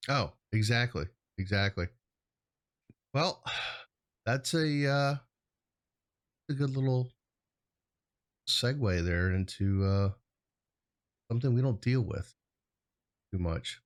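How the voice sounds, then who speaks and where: A man's voice: medium pitch, slow pace, pauses often. A middle-aged man hosting a show in casual chat, rambling a little with a jokey, hesitant delivery. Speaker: show host.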